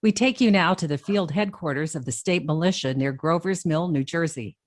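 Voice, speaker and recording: A woman plays a radio announcer reading news bulletins in a radio drama. Her voice is very low for a woman and sounds dry and close to the mic. She reads in a clear, formal, steady manner. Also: normal speed, dry recording, close to an everyday voice.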